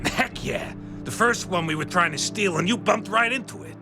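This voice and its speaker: A man's voice: sits high, lively intonation, moderate pace. A man playing a shifty, cocky young male thief in a video game, talking in grudging slang. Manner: slangy, grudging, stammering excuses. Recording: music playing behind the voice.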